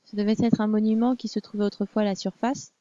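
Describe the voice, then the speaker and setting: A woman's voice: mid-range voice, little pitch movement, fast talker, dark tone, muffled recording, close to an everyday voice. A woman voicing a young princess who speaks in a formal, proper way. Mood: earnest. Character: young princess, weary yet curious and proper.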